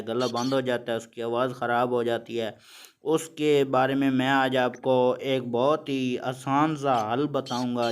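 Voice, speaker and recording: A man's voice: medium pitch, clean tone. A man hosting his own channel, explaining a simple remedy in a plain, repetitive way and calling his viewers friends. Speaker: channel host. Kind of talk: instructional vlog on bird care. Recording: noisy home recording.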